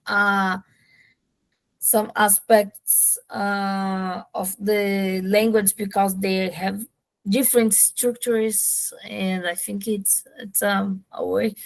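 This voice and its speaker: A woman speaking non-native English as an interviewer, haltingly searching for words in a casual conversation. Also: mid-range voice, dry recording. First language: not English.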